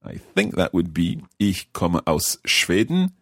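A man hosting a language podcast lesson, speaking clearly and patiently and repeating phrases slowly. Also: sits low, very animated, fairly bright tone, dry recording, clean studio sound. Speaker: language course host. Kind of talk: scripted podcast lesson chat.

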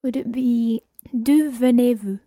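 A woman's voice: a little high, slow pace, mellow, no echo, studio quality. A woman studying a language, answering a teacher's prompts carefully in short phrases. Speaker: language student.

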